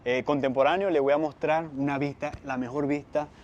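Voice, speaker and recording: A Venezuelan man hosting a casual walking tour outdoors, chatty and quick to laugh. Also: a little high, steady tone, darkish tone, dull audio, a little hiss or hum. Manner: chatty, laughs often, echoes the other speaker. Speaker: vlogger host. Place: outdoors on a city street.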